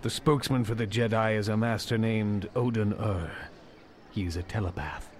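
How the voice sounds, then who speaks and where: An actor playing a wise, grave old Jedi master in an audio drama, speaking in a measured, mentoring way. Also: male voice, lowish voice, a little hiss or hum, lightly acted. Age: older.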